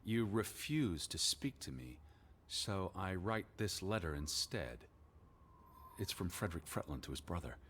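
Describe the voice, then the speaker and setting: An actor playing a reserved, anxious American naturalist in a video game drama, speaking in a formal, hesitant manner. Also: male voice, low voice, big pitch swings, unhurried, fairly bright tone, breathy edge, exaggerated voice. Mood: somber, pondering. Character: reserved, anxious American male naturalist searching for his sister.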